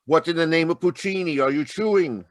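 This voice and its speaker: A man voicing a pompous, excitable opera maestro in a comic old-time radio advertisement. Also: on the high side, brisk, no echo, lightly acted. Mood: pompous, aghast. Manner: theatrical, aghast.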